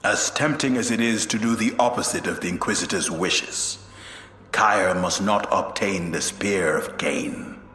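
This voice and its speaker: An actor playing a wary armored space warrior, speaking in a measured way. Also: male voice, sits low, sharp tone. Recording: echoey room, long reverb.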